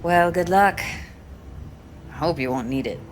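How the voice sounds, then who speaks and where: A low, earnest, hesitant young woman. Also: background noise. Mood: tender, wistful. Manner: halting, earnest. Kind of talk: naturalistic video game acting.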